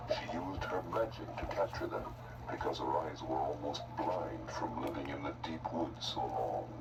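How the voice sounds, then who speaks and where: A man's voice: a bass voice, normal speed, vocal fry, far from the mic, heavy background noise. A man narrating a scripted children's tale in a theatrical storytelling style.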